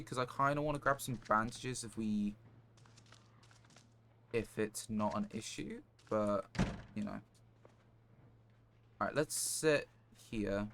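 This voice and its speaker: A man's voice: on the low side, fairly bright tone. A man live-streaming a game, thinking aloud in a casual, rambling and understated way. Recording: clear background noise, clicks.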